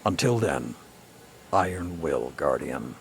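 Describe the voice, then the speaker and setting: An actor playing a stern, battle-hardened old warlord in a video game, with a gravelly, grave and commanding delivery. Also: male voice, rumbling low, very animated, slow, full character voice. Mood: reflective. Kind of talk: scripted video game dialogue. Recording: game music underneath.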